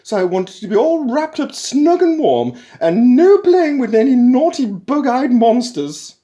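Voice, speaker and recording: A man voicing a fussy, bossy female ship computer with a high matriarchal twang, scolding loudly in a sing-song way. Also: very animated, unhurried, exaggerated voice. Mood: fussing. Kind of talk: audiobook character voice.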